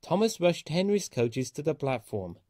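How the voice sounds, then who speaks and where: A man reading a children's story aloud as an expressive storyteller. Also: a little high, normal speed, dry recording, clean studio sound.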